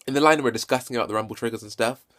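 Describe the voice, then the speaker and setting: A man with a stutter giving an unscripted, enthusiastic game review, halting and often correcting himself, recorded on a handheld phone. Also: medium pitch, fairly bright tone. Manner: halting, self-correcting and repetitive. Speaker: video game reviewer and vlogger. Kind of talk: unscripted casual hands-on review.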